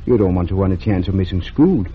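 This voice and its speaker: A kindly, husky small-town doctor. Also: male voice, sits low, expressive, quick pace. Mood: calm. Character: kindly middle-aged male town doctor. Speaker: doctor character. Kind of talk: 1930s radio drama acting. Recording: dull lo-fi old radio recording.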